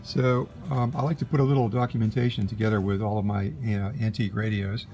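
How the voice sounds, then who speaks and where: A man casually demonstrating a vintage radio in a halting, unhurried way, as a collector explaining his set. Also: on the low side, flat delivery, darkish tone. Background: vintage radio playing music and broadcasts.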